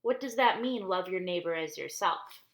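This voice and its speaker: A woman reading a children's story aloud expressively, deliberately, explaining things in simple words. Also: lowish voice, lively intonation. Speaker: storybook reader for church children. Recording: roomy, echoey indoor sound.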